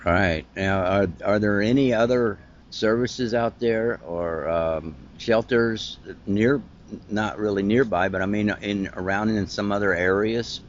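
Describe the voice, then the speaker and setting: A man with an Ohio accent hosting a podcast interview over an internet call, talking in a rambling, halting, friendly way. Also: on the low side, highs cut off, slight background noise. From Ohio, United States. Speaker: podcast host. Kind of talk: casual interview chat.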